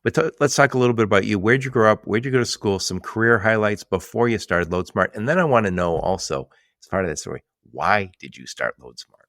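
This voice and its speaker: A man's voice: fairly low pitch, expressive, brisk, fairly bright tone, no room sound, studio quality. A man hosting a business podcast, chatting and asking short follow-up questions in a row. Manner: conversational, generalizing, asks short stacked questions. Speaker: podcast host.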